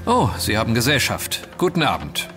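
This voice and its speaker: A man playing an unruffled, dryly witty gentleman adventurer in a German audio drama. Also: mid-range voice, huge pitch swings, normal speed. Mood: cool, curious. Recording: music behind the voice.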